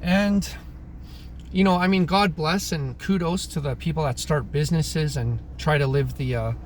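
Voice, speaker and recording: Halting man clearing his throat. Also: fairly high pitch, slight background noise. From Croatia. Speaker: vlogger. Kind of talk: casual opinion monologue. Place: in Alberta, Canada.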